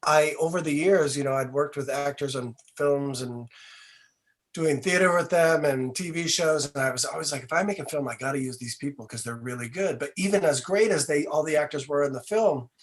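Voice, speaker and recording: Higher-pitched man, earnest podcast guest. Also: a little fast. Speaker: interview guest, filmmaker. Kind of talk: casual podcast conversation.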